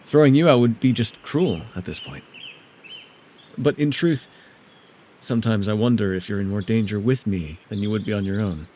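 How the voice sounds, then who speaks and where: A man playing a reclusive, centuries-old elf in a fantasy audio roleplay, guarded and awkward, with an earnest, halting delivery. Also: lowish voice, warm tone, a little hiss or hum, natural voice. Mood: hesitant, reflective.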